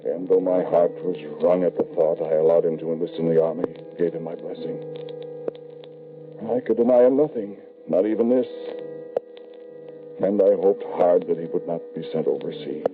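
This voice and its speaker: An actor playing an embittered, lonely, grieving father in an old radio drama, speaking formally and deliberately with dramatic pauses. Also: low-pitched, narrow, tinny audio. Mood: brooding, sorrowful. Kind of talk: 1940s radio drama acting, first-person narration. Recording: band-limited vintage radio recording.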